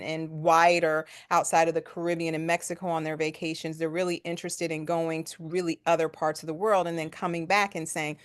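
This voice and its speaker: A woman's voice: low voice, clear, resonant tone. A woman, a tax attorney from the United States, speaking as a guest in a webinar over a video call in a confident, conversational way. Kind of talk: webinar presentation.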